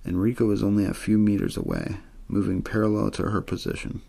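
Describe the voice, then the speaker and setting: A man reading a scripted story in ASMR style, with a plain, soothing, untheatrical delivery and a husky, gravelly voice. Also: on the low side, huge pitch swings, brisk, dark tone. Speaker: audiobook-style story reader.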